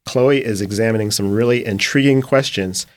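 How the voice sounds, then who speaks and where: A man hosting a podcast, calmly introducing the show and welcoming the guest. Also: lowish voice, normal speed, no echo. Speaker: podcast host.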